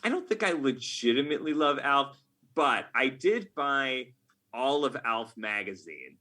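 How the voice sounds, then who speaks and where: A man's voice: mid-range voice, very animated, a little slow, sharp tone. A middle-aged man talking casually as a podcast guest, with a wry, self-mocking, nerdy enthusiasm. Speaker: podcast guest, game writer.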